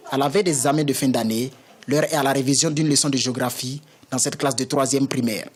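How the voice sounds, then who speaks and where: Fast-talking male correspondent. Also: medium pitch, husky. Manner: brisk, formal. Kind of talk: scripted news report.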